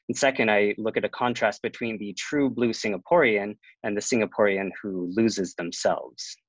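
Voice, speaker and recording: A man presenting a prepared academic talk over a video call, in a measured reading manner. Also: fairly low pitch, dry recording. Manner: measured, reads from prepared notes. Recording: dull, dry lo-fi sound.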